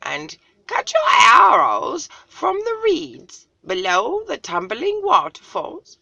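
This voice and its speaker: Storybook great eagle: stern forest guardian, high and loud, very slow proclaiming delivery. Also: female voice, huge pitch swings, creaky, no room sound, dull audio, heavily acted. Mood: stern, commanding. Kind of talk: storybook read-aloud character voice.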